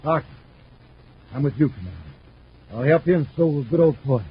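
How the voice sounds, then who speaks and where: A man's voice: fairly high pitch, animated, fairly quick. A man playing a plainspoken, stubborn old space outlaw with rustic American speech in a vintage radio serial. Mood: earnest. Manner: folksy rustic drawling speech. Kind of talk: 1950s radio serial acting. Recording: muffled noisy old radio recording.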